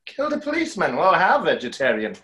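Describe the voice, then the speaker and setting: A man's voice: on the high side, huge pitch swings, fast talker, pushed and bright, dull audio, a little hiss or hum, exaggerated voice. A young man playing a suave, wry, boastful conjurer in a play reading over a video call. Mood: dry, wry. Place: room at home, video call.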